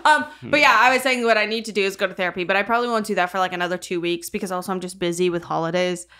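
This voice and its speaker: A woman's voice: mid-range voice, fairly even intonation, very fast, pushed and bright. A young woman co-hosting a casual podcast, rambling and sweary, leaning heavily on filler words like "like". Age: young adult. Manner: rambling, sweary, heavy use of "like". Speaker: podcast co-host.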